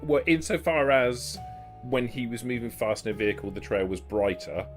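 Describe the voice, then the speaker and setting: A man acting as game master, narrating and explaining the scene in a measured, improvised way. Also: medium pitch, fairly even intonation, soft background music.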